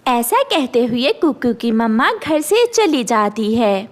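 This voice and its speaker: A woman narrates a children's cartoon story from a script. The voice is very high, and the intonation moves up and down a great deal. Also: sing-song, slow.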